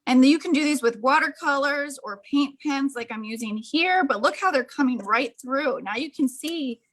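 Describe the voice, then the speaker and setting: A woman's voice: high-pitched, expressive, normal speed, fairly bright tone. An enthusiastic, gushing woman giving a casual, hands-on art demonstration.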